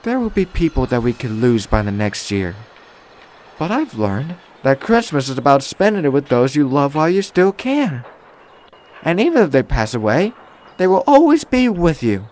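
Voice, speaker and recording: A man voicing a kind young woman in a children's story, speaking softly with gentle, consoling earnestness. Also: a little high, pitched above the performer's own voice. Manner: soft, kindly, earnest.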